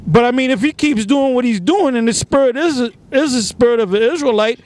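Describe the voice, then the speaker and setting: A man in an outdoor preaching discussion, chiming in with examples and halting restarts. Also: very high-pitched, a little mellow, no echo. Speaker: street preacher.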